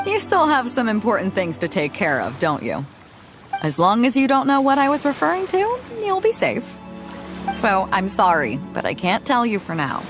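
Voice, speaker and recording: A woman voicing a confident, easygoing female ship captain in a video game cutscene, speaking in a relaxed, knowing way. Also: on the high side, unhurried, exaggerated voice. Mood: sly. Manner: relaxed, knowing, laughs often. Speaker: fleet captain. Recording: game music under the voice.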